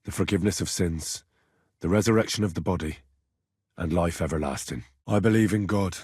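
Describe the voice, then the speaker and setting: A man's voice: sits low, a little fast, a little mellow, slightly rough, dry recording, studio quality. A man voicing a stubborn, cheeky young medieval man in a video game, with blunt, earthy, conversational delivery. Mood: hushed, prayerful. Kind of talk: video game character dialogue.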